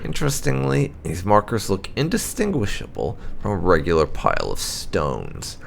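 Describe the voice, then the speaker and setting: A man narrating game lore notes aloud in a measured, storyteller-like way. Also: low voice, very animated, creaky, a little hiss or hum. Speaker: gaming video host.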